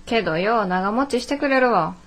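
An actress playing a brisk, nagging daughter in her twenties, blunt and scolding with a Kansai accent, in a radio drama. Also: fairly high pitch, lively intonation. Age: 20s. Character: brisk, nagging 24-year-old daughter of a shopkeeper.

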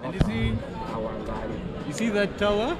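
A man's voice: high-pitched, unhurried. A young man, explaining sights as a local tour guide on a casual walking tour outdoors, recorded on a handheld camera. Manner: informative, explains steadily. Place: crowded outdoor city market street. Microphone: handheld camera at arm's length. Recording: loud street crowd and traffic noise.

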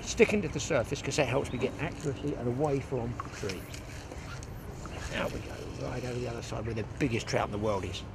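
Older male fishing instructor, mid-pitched, chatty, thinks aloud as he demonstrates; clip-on mic outdoors with wind and ambience noise. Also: brisk, creaky. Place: outdoors on a lakeside bank. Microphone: clip-on mic outdoors, some wind.

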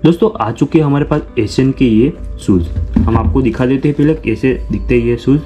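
A man's voice: medium pitch, fairly quick, music in the background. Young man hosting a gear unboxing. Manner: repetitive, explanatory, addresses viewers as friends. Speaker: unboxing channel host. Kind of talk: product unboxing narration. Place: small room indoors.

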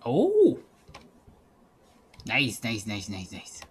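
Lively joking man. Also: medium pitch, extremely slow, slightly rough. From Mexico. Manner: joking, laughs often. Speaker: streamer. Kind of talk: casual gaming chat.

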